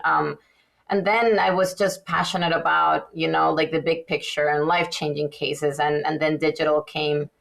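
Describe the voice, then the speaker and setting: Low creaky-voiced woman from Venezuela. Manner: chatty, many fillers and restarts, animated. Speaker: guest dentist. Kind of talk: casual podcast interview.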